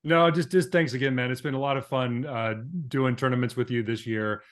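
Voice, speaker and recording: A man's voice: mid-range voice, fairly even intonation, fairly quick. A man co-hosting a podcast and interviewing a guest in a casual conversational style.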